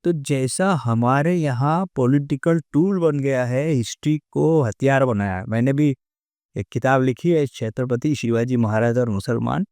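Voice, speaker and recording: A man's voice: mid-range voice, measured pace, a little mellow, dry recording, studio quality. A man with an Indian accent giving his opinions as an interview guest, conversational and emphatic, repeating key phrases.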